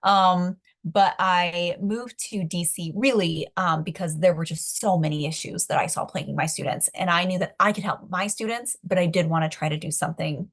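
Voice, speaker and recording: A young woman with a slightly low voice talks through her career story on a webinar panel. She speaks casually, filling pauses with "um" and "like". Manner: chatty, many fillers and likes. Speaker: advocacy organizer, webinar panelist.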